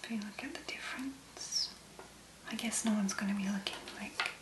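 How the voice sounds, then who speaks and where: A woman doing a soft-spoken ASMR demonstration in a gentle, breathy, unhurried murmur with pauses. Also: medium pitch, reverberant. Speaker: ASMR video creator.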